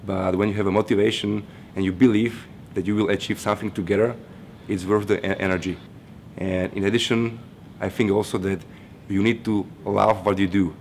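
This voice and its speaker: Young guy from slovakia, low monotone voice, steady, rumble in the background. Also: fairly quick. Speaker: student. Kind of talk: interview answers.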